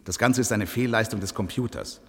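An actor playing a hesitant justice official in a 1970s science-fiction radio play, with a husky voice. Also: male voice, lowish voice, a little fast, fairly bright tone, in a large hall, exaggerated voice. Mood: troubled, confiding. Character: hesitant male justice official deferring to a computer.

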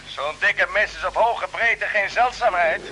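Dazed expedition captain, vintage radio play. Slow, languid, trance-like delivery in a high register. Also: male voice. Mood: blissful, dazed. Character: dazed, entranced space captain drifting toward sleep. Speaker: radio drama actor. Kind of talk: old Dutch radio play acting. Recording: noisy vintage radio recording.

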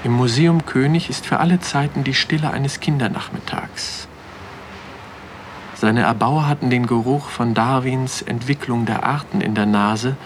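An actor playing a reflective magazine writer who tells his own story in a measured radio drama narration. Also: mid-range voice, a little hiss or hum, close to an everyday voice.

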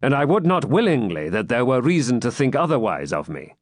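A man voicing a dignified, probing knight in an audiobook, with formal, measured old-fashioned speech. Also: mid-range voice, big pitch swings, no room sound. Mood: courteous. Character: dignified, courteous, probing male champion knight. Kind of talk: audiobook character voice.

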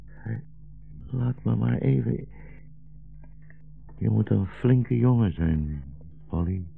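An old blind rabbi in a 1960s radio play, dying and visionary. His low voice is full of gravel and moves slowly, with gentle, fatherly solemnity. The old broadcast recording is muffled and narrow. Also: lively intonation, faint music behind, heavily acted. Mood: pained, weakening. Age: older. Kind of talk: 1960s radio drama acting.

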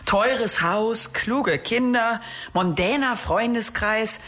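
A woman's voice: fairly high pitch, slow pace, a bit bright. A woman acting in a German radio drama as an uneasy, suspicious holidaymaker, brooding and insistent. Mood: bitter recounting.

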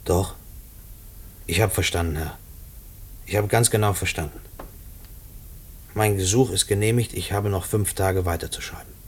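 A man's voice: low voice, moderate pace, pauses often, lightly acted. An actor in a German radio play playing a destitute, jobless man turned prisoner, embittered and defiant. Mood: cowed, bitter.

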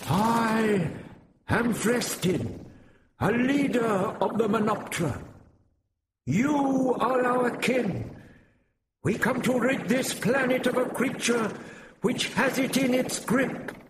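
A man's voice: very high voice, lively intonation, slow, reverberant, noisy background, voice pushed up, exaggerated voice. A man voicing a proud, noble male winged insect leader who speaks in a haughty, ringing, grand way.

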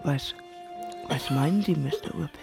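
A man's voice: a little high, moderate pace, creaky, music in the background. An actor in a radio play playing a poor, timid young man who speaks hesitantly and deferentially, stumbling over his words. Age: young adult.

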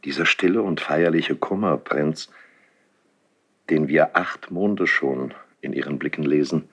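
An actor playing an older, insinuating court priest in a classical German radio drama, speaking formally and solemnly with breathy, husky declamation. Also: male voice, very deep voice, a little slow, full character voice. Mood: solemn, probing. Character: insinuating older court priest, confessor to the king, smooth and probing.

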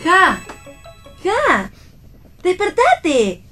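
A woman's voice: very high voice, huge pitch swings, very slow, sharp tone, faint music behind, exaggerated voice. A woman with an Argentine accent playing a playful, teasing young wife in a read-aloud stage play. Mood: playful, excited. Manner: teasing, bantering.

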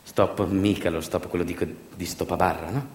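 A man performing a theatrical storytelling monologue for radio theatre, emphatic and repetitive, moving between hushed asides and louder delivery. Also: sits low, fairly even intonation, normal speed. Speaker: stage actor and monologue storyteller. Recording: roomy echo, music behind.

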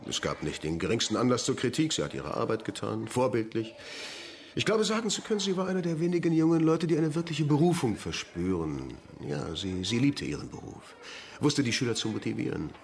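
An actor playing an earnest, formal school principal in a German radio crime drama, speaking hesitantly. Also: male voice, mid-range voice, expressive, a little fast, close to an everyday voice. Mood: earnest praise.

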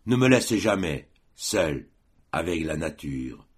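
Deep, slow, husky man reciting poetry. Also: very animated. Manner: measured, declamatory, with pauses between phrases. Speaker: volunteer audiobook reader.

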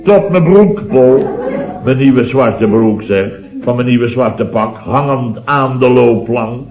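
Blustering middle-aged boss played by a man, indignant, exclamatory rambler, medium pitch, 1960s radio comedy, muffled old recording. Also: slow, lightly acted. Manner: exclamatory, rambling, indignant storyteller with tag words. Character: blustering middle-aged builder boss telling weekend mishaps. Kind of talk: late-1960s Dutch radio comedy serial acting.

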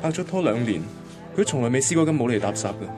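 An actor plays a devoted boyfriend sick with worry, thinking aloud in a radio drama. He is a man with a mid-range voice and a Hong Kong Cantonese accent. Music plays clearly behind him. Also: mid-range voice, animated, quick pace, close to an everyday voice. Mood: worried.

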